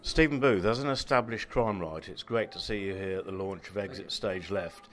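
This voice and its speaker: A man's voice: deep voice, a little fast, a bit bright. A man with an English accent interviewing guests at a launch, asking chatty, friendly questions.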